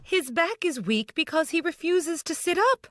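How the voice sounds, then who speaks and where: A man's voice: very high-pitched, animated, normal speed, a bit bright, slight background noise, pitched above the performer's own voice. A man voicing an anxious, breathless nurse in a storybook reading. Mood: worried.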